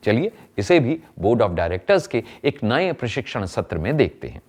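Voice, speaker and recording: A man's voice: fairly low pitch, sing-song, unhurried, a little mellow. A man narrating a training film in a scripted, explanatory style, emphatic and fond of rhetorical questions.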